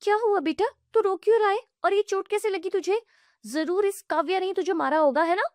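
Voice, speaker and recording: Cartoon role: a woman doing a nasty, high-voiced sister-in-law, scolding and dry-recorded. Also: fairly quick, dry recording. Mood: angry. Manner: shrill, harsh, scolding. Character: scornful jealous sister-in-law, young woman.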